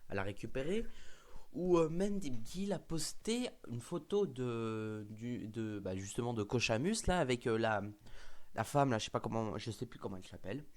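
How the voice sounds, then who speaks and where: A man's voice: medium pitch, expressive, normal speed. Man with a cold, chatting. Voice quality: hesitant, many fillers and restarts. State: has a cold. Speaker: host. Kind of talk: casual fan discussion.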